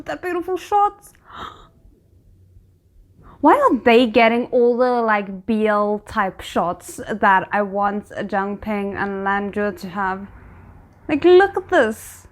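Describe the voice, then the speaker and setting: High-voiced woman musing in casual reaction commentary. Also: slow pace. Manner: musing, thinking aloud in hesitant fragments. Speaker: reaction host commenting on a drama episode.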